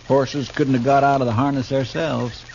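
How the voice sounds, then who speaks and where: A man's voice: medium pitch, little pitch movement, moderate pace, creaky, close to an everyday voice. An American man playing a folksy, naive deputy sidekick in a 1950s radio western, with a drawling, deferential manner. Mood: mildly reluctant. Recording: muffled, very noisy old radio recording.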